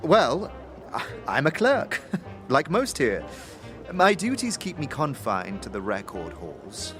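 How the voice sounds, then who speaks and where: A man's voice: medium pitch, wildly swooping pitch, slow pace, a bit bright, faint music behind, full character voice. A man voicing a chatty, awkward female clerk in an audio drama, hesitant and polite. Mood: awkward, friendly.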